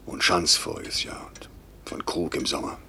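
A stern, guilt-ridden airline boss in an old radio play. His voice sits very low and gravelly, and it swings widely between curt commands and brooding murmurs. The recording is a faintly hissy one from the fifties. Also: big pitch swings, normal speed, bright, forceful tone, exaggerated voice. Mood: anguished, tender. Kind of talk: 1950s German radio drama acting.

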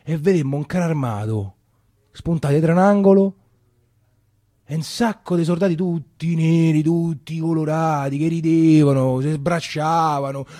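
Man acting Roman storyteller, wartime memories, higher-pitched voice, theatrical monologue, halting dialect phrasing, dry sound. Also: warm tone, no echo, natural voice. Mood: animated, urgent. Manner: colloquial, halting, repeating himself. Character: Roman man recalling his wartime boyhood, emotional and animated.

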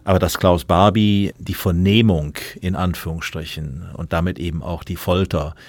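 A very deep male voice, recorded dry and close. He speaks as an expert interviewee, analytical and considered, with some hesitation. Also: steady tone, moderate pace, a little mellow, no echo.

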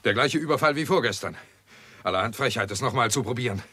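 A brisk armed guard in a vintage German radio thriller. His voice is bright and forceful, with very lively pitch and a faint noise behind it. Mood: urgent, brisk. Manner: brisk, commanding. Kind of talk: 1960s German radio play acting.

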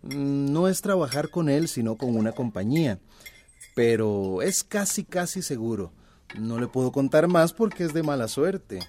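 An actor playing an earnest, hopeful young student son in a radio drama. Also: male voice, medium pitch, animated, normal speed, darkish tone, slight background noise, close to an everyday voice. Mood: cagey, hopeful. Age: young adult.

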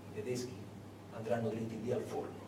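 A man's voice: mid-range voice, monotone. Man, dramatic stage narration, emphatic, echoey room, distant mic, noisy background. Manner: emphatic, suspenseful, dramatic pauses. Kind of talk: dramatic theatrical storytelling.

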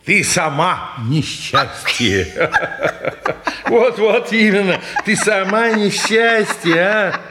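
An actor playing a pompous, grumbling old householder in a Russian stage drama, halting and condescending. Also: male voice, high voice, animated, background noise, exaggerated voice. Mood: mocking. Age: older.

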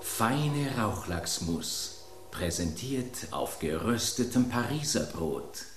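Slow, ceremonious dinner announcer. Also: male voice, lowish voice, big pitch swings, echoey room, a little hiss or hum. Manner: ceremonious, measured. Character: formal male dinner announcer presenting a course. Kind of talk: German radio play acting.

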